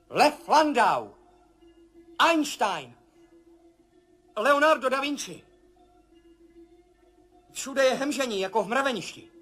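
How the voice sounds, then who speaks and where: An actor playing a man adrift in a dreamlike vision in a 1970s radio play, with a husky voice and an urgent, awed way of talking. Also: very high voice, sharp tone, heavily acted. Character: astronaut Tom, adult man, anxious and searching. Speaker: narrating lead character. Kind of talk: 1970s radio drama acting.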